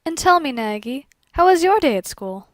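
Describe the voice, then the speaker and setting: A woman voicing a composed, elegant young gambling lady in a fan fiction reading, speaking in a measured, formal way. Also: high-pitched, sing-song, no echo, clean studio sound. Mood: pleased.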